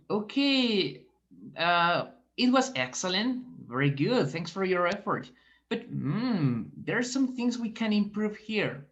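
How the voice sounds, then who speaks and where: Slow, patient woman teacher on a call. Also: fairly low pitch, a bit bright. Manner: slow, encouraging, questioning. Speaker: teacher trainee giving feedback. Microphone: video call. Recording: dull video call audio.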